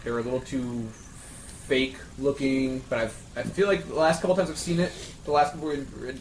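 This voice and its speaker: A man's voice: medium pitch, fairly even intonation, a little fast. A man in casual round-table chat, explaining in detail like an earnest fan. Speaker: panelist on a friends' discussion show. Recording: echoey room with background noise.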